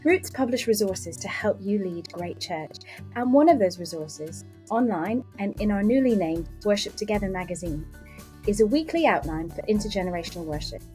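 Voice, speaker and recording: A woman's voice: mid-range voice, animated, normal speed, darkish tone. An upbeat woman hosts a podcast. She is enthusiastic and laughs easily as she talks with her guest. Faint music plays behind her. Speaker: podcast host. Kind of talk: scripted podcast intro, then casual interview.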